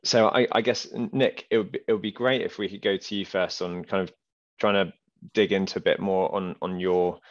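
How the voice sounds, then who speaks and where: Deep-voiced young man moderating a panel. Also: steady tone, moderate pace, lo-fi sound. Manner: hesitant, many restarts and fillers. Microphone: headset on a video call.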